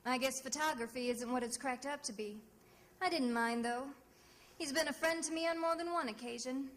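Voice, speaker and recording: A woman playing a sassy, quick-witted private detective in 1940s radio drama style, narrating with wry, breezy wisecracks. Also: high voice, a bit bright. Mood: wry narration. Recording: noisy old radio recording.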